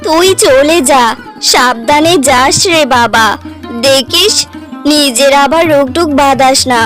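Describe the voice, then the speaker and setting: A woman's voice: sits high, a little slow, a bit bright, soft background music, voice pushed down. A woman voicing a protective older family elder in a cartoon story, admonishing and motherly. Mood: resigned, caring.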